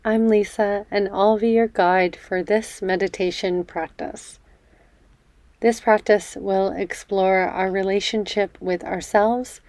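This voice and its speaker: A woman guides a meditation at a slow, unhurried pace. Her voice is soft-edged and mellow, with a gentle, soothing delivery. The sound is dry and close, with space left between phrases. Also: medium pitch, no room sound. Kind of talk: guided meditation, body scan.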